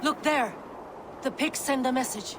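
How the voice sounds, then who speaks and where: A woman's voice: high-pitched, unhurried, fairly bright tone, a little hiss or hum. A woman playing a level-headed, stern Viking leader in a video game, speaking with urgent authority. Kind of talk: dramatic video game acting. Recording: clear outdoor ambience behind voice.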